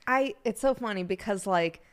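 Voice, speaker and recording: Bubbly young woman with a smooth voice. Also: medium pitch, moderate pace. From Pittsburgh. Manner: bubbly, lots of fillers. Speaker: podcast guest. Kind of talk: casual chat.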